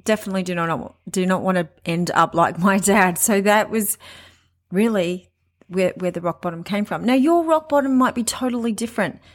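A woman in her late forties hosting a podcast, telling her own story in a candid, conversational way with pauses and restarts. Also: medium pitch. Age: 40s. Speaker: podcast host. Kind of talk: personal monologue.

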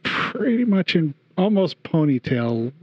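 A man's voice: fairly high pitch, big pitch swings, unhurried, husky, dry recording, muffled recording. A man co-hosting a casual podcast, easygoing with brief joking remarks. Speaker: podcast co-host. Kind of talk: casual chat.

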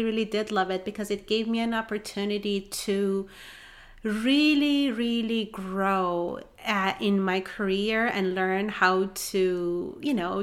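A woman in her thirties with a Persian accent, talking as a relationship coach in an earnest, encouraging solo monologue that rises to excited emphasis. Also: medium pitch. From Iran. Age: 30s. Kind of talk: heartfelt solo podcast monologue.

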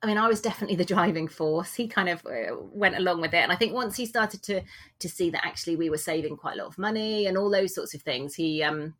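A woman's voice: fairly low pitch, fast talker, a bit bright. A British woman in her forties, chatting casually and self-deprecatingly as a guest on a podcast, recorded at home. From England. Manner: chatty, rambling, self-deprecating with frequent laughs. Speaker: guest author. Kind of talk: casual interview chat.

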